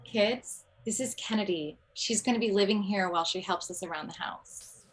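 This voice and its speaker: A woman's voice: mid-range voice, moderate pace, a little hiss or hum, lightly acted, rough recording. A woman playing an overwhelmed, bossy widowed mother with a dry wit in a comedy table read over video call. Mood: decisive, tired.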